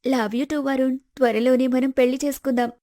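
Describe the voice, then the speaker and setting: A woman voicing a young woman in an animated moral story, sweet to her lover and calculating underneath. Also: sits high, moderate pace, dry recording, studio quality, natural voice. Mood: sweet, delighted.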